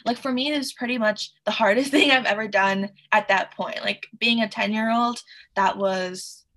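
A woman's voice: mid-range voice, normal speed, a bit bright. An American woman whose first language was Mandarin, chatting casually as a podcast guest, with many fillers and little laughs. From United States (Connecticut). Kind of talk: casual conversation.

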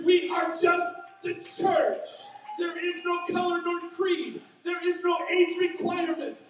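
High-voiced man preaching in an echoey church. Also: slight background noise. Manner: impassioned preaching, often shouting. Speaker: pastor. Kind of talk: sermon. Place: church sanctuary. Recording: very echoey hall, mic far off.

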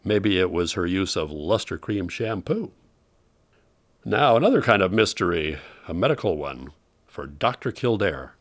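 A man's voice: low-pitched, big pitch swings, a little slow. A man hosting an old-time radio showcase with scripted, informative narration.

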